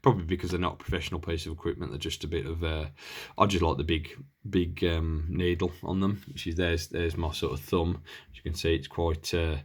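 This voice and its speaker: A man with a low voice talks through a piece of gear as a hobbyist presenter. He is unscripted and casual, often hesitating and wandering as he thinks out loud while his hands are busy. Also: flat delivery, a little fast, few pauses. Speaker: hobbyist presenter reviewing radio equipment.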